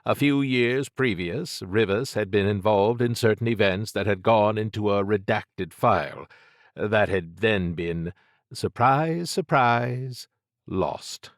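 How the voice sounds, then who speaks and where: Low-voiced male audiobook narrator. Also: expressive, slow, dry recording, studio quality. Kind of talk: scripted fiction narration.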